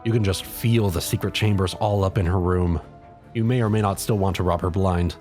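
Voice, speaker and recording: A woman's voice: very deep voice, steady tone, fairly quick, mellow, faint music behind. A woman reading scripted second-person fan fiction as the narrator.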